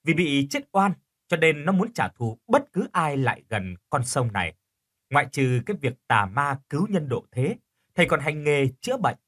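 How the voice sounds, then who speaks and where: A man voicing a courteous ferryman in an audio story, telling village lore in an unhurried way. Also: a little high, sing-song, a bit bright. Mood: calm, eerie.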